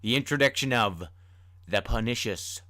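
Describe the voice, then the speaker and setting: A man's voice: mid-range voice, normal speed, pushed and bright, no echo, studio quality. A man hosting a podcast, talking casually and informally with self-deprecating asides. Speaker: podcast host and storyteller. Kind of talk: casual host talk.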